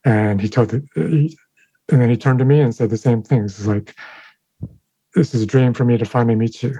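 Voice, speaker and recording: A man, a sound designer whose first language is Chinese, answering interview questions in a thoughtful, halting way with many fillers and restarts. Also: mid-range voice, mellow, breathy edge, rough recording. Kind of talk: interview conversation.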